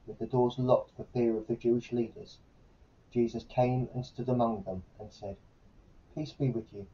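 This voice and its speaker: A man training as a vicar, reading a scripture passage aloud in a steady way. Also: medium pitch, a little hiss or hum. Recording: muffled, dull sound.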